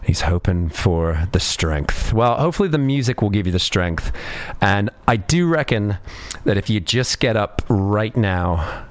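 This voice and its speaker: A man's voice: fairly low pitch, lively intonation, a little slow. A man hosting a radio music show, chatting in an easygoing, rambling way with lots of ums and uhs, a little sniffly from a cold. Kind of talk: laid-back radio DJ chat.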